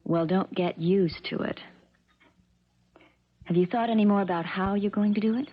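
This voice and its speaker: A woman's voice: mid-range voice, moderate pace, close to an everyday voice. An actress playing a spoiled, scheming young rich woman, cool and poised, in a 1970s radio drama. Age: young adult. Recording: muffled, hissy old radio broadcast.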